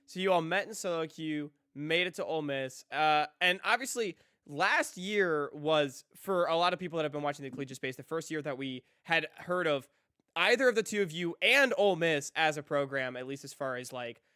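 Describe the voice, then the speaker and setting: Upbeat, higher-voiced male podcast host. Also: animated, moderate pace, sharp tone, clean tone, no room sound, clean studio sound. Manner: upbeat, enthusiastic. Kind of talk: casual interview.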